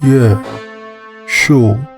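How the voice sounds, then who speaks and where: Man playing a hesitant amateur sleuth, unhurried. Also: medium pitch, creaky, faint music behind. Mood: flustered. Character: Dag, nervous male amateur sleuth thinking aloud.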